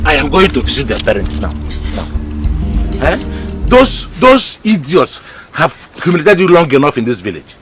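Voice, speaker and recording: A man's voice: fairly high pitch, sing-song, normal speed, over music. An actor playing an indignant, protective middle-aged village father. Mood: outraged. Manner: outraged, rhetorical "eh?".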